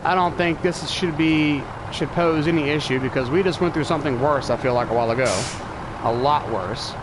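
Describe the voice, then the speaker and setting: A man's voice: on the high side, a little fast. A man with a stuffy nose from allergies, thinking aloud in casual live commentary as he streams a truck-driving game. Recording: clear game engine and truck noise behind voice. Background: truck engine and vehicle sounds from the game.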